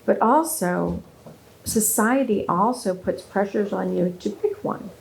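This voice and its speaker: Gravelly earnest woman in her fifties. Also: medium pitch, unhurried, slight background noise, poor audio. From Southern California. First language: German. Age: 50s. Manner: earnest, animated, frequent restarts. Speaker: guest speaker. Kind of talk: interview conversation.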